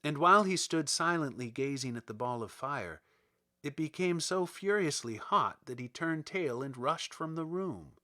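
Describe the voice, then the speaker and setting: A man reading a storybook aloud as narrator, in an expressive, deliberate manner. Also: mid-range voice. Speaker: audiobook narrator.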